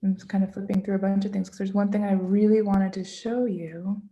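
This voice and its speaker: A woman children's book author talking casually and interactively to children on a video call, expressive and encouraging, often asking questions. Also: medium pitch, a little fast, highs cut off. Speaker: children's book author giving a read-aloud.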